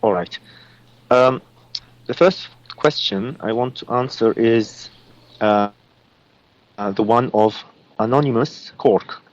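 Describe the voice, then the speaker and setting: A man from Lebanon with an Arabic-accented voice, giving psychic readings as a guest and pausing often with fillers. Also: mid-range voice, dull audio. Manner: halting, many uh and um fillers.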